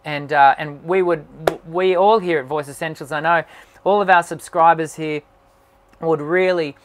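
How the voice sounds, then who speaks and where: A man who hosts a singing channel, answering viewer questions on a live stream in an encouraging tone and often pausing with "um". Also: on the high side, fairly even intonation, slight background noise. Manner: encouraging, talks with many "um" fillers. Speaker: singing teacher and channel host.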